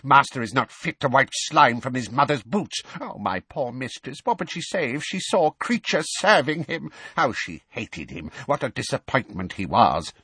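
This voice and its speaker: A man's voice: mid-range voice, very animated, normal speed, hardly any pauses, a bit bright, slightly rough, no room sound, pitched above the performer's own voice, full character voice. A man voicing a bitter, servile old house-elf in a storybook, muttering about himself in the third person. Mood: bitter, resentful.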